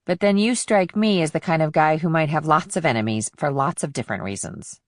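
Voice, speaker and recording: A woman's voice: deep voice, brisk, no echo, clean studio sound, lightly acted. A woman playing a sarcastic, guarded, streetwise teenage girl in audiobook dialogue, with a flippant manner. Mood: matter-of-fact.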